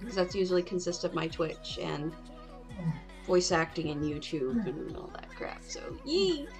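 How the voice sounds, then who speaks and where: A woman's voice: fairly low pitch, sing-song, measured pace, darkish tone. Playful woman chatting over music. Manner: playful, rambling, crude. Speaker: livestream host. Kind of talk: casual livestream chat. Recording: music playing behind the voice.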